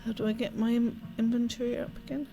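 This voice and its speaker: A woman reading a game's story text aloud in an expressive storybook style, with offhand asides as she plays. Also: fairly high pitch, fast talker, faint music behind. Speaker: let's play host. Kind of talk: reading game narration aloud.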